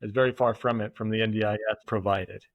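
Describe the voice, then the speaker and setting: A man, a podcast guest, talking in a thoughtful, halting way with many fillers and restarts. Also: lowish voice, fairly even intonation, no room sound. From United States. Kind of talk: casual conversational interview. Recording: dull, muffled podcast audio.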